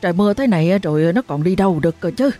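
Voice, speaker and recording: A woman's voice: on the low side, animated, dark tone, faint music behind, lightly acted. A woman voicing a jovial middle-aged village man, a kindly neighbor. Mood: worried. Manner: teasing, then worried and hurried.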